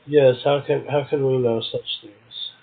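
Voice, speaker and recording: A man's voice: medium pitch, fairly even intonation, a little slow. Phone-like recording of a man reading poetry. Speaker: poetry podcast host, poet. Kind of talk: poetry reading and casual commentary. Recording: muffled, tinny phone-like audio.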